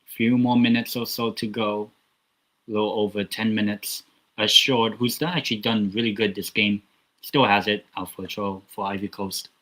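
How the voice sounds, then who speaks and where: Chatty low-voiced man commentating a live match. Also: steady tone, moderate pace, sharp tone, low-quality recording. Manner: chatty, rambling, self-talking asides. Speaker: watchalong host. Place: small home room near a closet.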